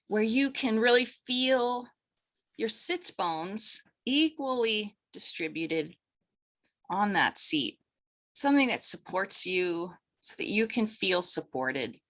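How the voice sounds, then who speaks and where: Woman, meditation instructor, very unhurried pace, gentle instructive delivery, medium pitch, short phrases with pauses. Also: animated.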